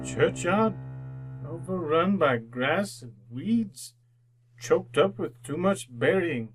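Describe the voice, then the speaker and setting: An old miser from a classic radio play. His voice is high for a man and he takes his time over the words. The delivery is theatrical, fervent and full of exclamation. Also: a bit bright, heavily acted. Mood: dread. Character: old repentant miser, fearful then overjoyed.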